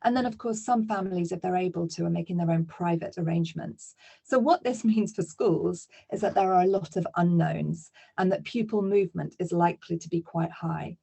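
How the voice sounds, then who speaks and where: Low mellow woman presenting a webinar. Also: a little fast, gravelly, breathy edge. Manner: steady explaining with fillers.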